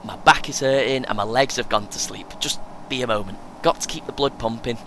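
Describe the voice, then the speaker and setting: A man's voice: fairly quick, pushed and bright. A man playing a cheeky, chatty British runner in his twenties in an audio play, with a casual, laddish manner. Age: young adult. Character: cheeky, chatty young male marathon runner, 23. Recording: clear vehicle rumble noise. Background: vehicle ambience.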